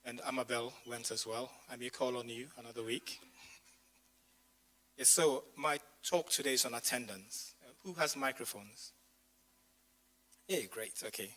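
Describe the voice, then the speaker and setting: A man's voice: medium pitch, unhurried, long pauses, fairly bright tone, rough recording. A male pastor giving a chatty sermon to his congregation, his voice strained after losing it, with asides to the people in the room. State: voice strained, says he lost his voice. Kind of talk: sermon with personal stories. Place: church community hall.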